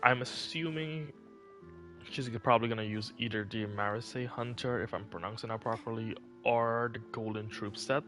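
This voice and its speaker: A man's voice: on the low side, normal speed, pushed and bright, faint music behind. A man chatting casually on a live game stream, rambling and thinking aloud as he goes.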